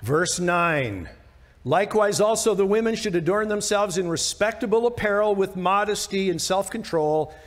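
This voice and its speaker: An American man in his sixties, a pastor giving an informal, emphatic, conversational Bible teaching with rhetorical questions. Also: a little high. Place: church sanctuary stage, indoors. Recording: long reverb of a church hall.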